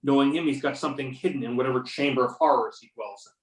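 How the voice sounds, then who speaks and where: A man's voice: mid-range voice, fast talker, slight background noise, natural voice. A man playing a determined investigator in readers theater, matter-of-fact and commanding, over a remote call. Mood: insistent. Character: Beckett, determined male investigator giving orders. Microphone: remote videoconference or phone call. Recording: roomy, distant mic on conference call.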